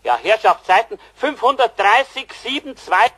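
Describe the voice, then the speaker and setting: High, husky, muddled caller. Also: male voice, expressive, normal speed, pushed and bright, heavily acted. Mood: impatient. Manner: rambling, muddled, insistent. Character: fussy, rambling, scatterbrained male telephone caller, insistent. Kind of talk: German comic radio play acting.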